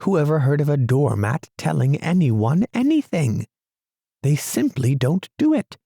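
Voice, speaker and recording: A man's voice: mid-range voice, very animated, unhurried, mellow, no echo, clean studio sound, pitched above the performer's own voice. A man voicing a fussy, literal-minded male mole in a storybook reading, with a prim, pettish manner.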